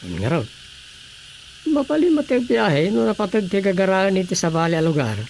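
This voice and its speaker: A man's voice: high voice, sing-song, normal speed, gravelly, darkish tone, a little hiss or hum, full character voice, low-quality recording. A man with a Filipino accent acting an easygoing husband in a radio drama. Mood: casual.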